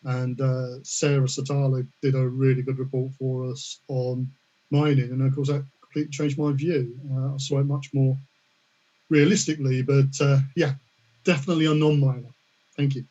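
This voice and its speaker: A middle-aged man from the UK speaks politely and hesitantly on a panel, with lots of fillers. His voice is dark and mellow. The sound is dull and lo-fi. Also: medium pitch, flat delivery, moderate pace. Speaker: panelist, risk management specialist. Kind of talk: online panel discussion. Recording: dull lo-fi audio.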